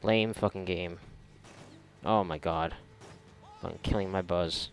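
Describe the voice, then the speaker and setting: A man giving casual, reactive commentary while gaming, sarcastic and foul-mouthed, with a gravelly voice. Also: sits low, steady tone, takes it slowly, soft background music. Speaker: gamer recording a playthrough. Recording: noisy, game gunfire behind voice. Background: video game gunfire and ambience.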